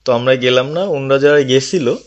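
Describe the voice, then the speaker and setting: A man's voice: medium pitch, normal speed, slight background noise. A man reports to his leader on a phone call, speaking deferentially and a little hesitantly. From Bangladesh. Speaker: local party activist. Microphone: telephone.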